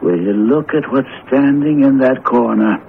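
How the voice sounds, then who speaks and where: An actor playing a curious, wondering husband in an old radio drama. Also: male voice, mid-range voice, a little slow, gravelly. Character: curious, impressed husband viewing a house. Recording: tinny vintage radio broadcast, faint hiss.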